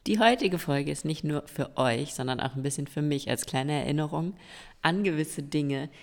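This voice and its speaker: Hoarse, low-voiced woman podcaster. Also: normal speed. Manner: encouraging, direct address to listeners. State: hoarse and stuffy-nosed. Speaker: podcast host. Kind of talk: solo podcast monologue giving tips. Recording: long reverb with faint music.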